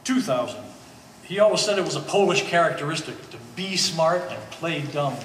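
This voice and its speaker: In a reverberant hall, a bit far from the mic, a man acts a reasonable, easygoing go-between on stage. He sounds conversational and persuasive, in a slightly high male voice. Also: expressive, moderate pace, fairly bright tone. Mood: pleased. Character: reasonable, easygoing man brokering a family dispute. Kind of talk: live stage play acting. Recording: echoey hall, distant mic, faint noise.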